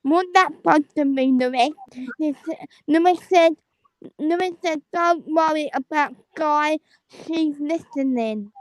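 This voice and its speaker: Young woman caller from the Caribbean, high voice, slow, halting, stumbling speech, dry sound. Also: dry recording, rough recording. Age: 20s. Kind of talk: casual chat.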